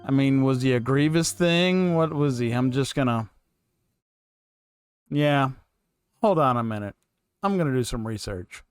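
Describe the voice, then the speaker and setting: A man's voice: medium pitch, expressive, measured pace, pauses often, clean tone, dry recording, faint music behind. A man giving casual, unscripted reaction commentary, rambling and repeating himself as a host. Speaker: fan reaction channel host.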